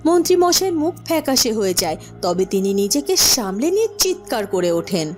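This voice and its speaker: A woman's voice: a little high, big pitch swings, a little fast, darkish tone, faint music behind. A woman narrating a scripted fairy tale as a cartoon storyteller.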